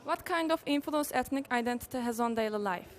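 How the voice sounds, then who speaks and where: Polite high-voiced young woman interviewer. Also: brisk. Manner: polite, formal, non-native phrasing. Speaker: student interviewer. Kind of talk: scripted interview questions.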